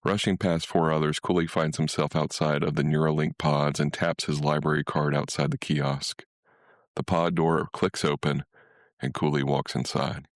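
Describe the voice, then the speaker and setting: Guy narrating a short story, super deep bass voice, totally dry booth sound. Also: little pitch movement, moderate pace, dry recording. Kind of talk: scripted literary narration.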